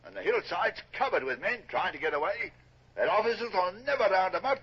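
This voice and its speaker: An actor playing a blunt, hearty British naval officer eager for battle in a radio drama, with a rough, excitable voice. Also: male voice, sits high, fairly quick. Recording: dull vintage radio recording.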